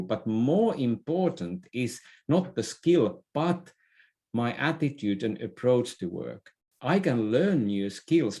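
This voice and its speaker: Mellow-voiced man explaining as interview guest. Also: fairly low pitch. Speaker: interview guest, leadership expert. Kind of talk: conversational explanation in a podcast interview.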